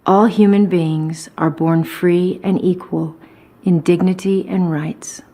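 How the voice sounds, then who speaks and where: Mellow low woman reading clearly. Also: fairly even intonation, slow pace. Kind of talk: clearly read statement.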